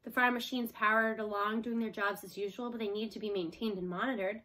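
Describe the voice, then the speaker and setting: A woman's voice: medium pitch, fairly even intonation, brisk, bright, forceful tone, rough recording. A woman reading a children's novel aloud as scripted storybook narration. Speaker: storybook reader.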